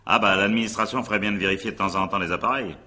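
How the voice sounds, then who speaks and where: An examining magistrate in a radio drama, with a very low, bright and forceful voice. He questions in a brisk, authoritative way, impatient and dryly sardonic, with a husky edge. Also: fairly even intonation. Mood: deferential, businesslike. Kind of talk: French radio drama acting.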